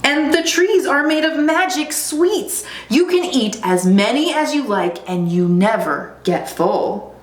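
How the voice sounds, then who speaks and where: A woman's voice: high-pitched, expressive, unhurried, voice pushed up. A woman voicing a boastful young male lion cub who brags earnestly in a storybook read-aloud. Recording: roomy echo, faint background noise.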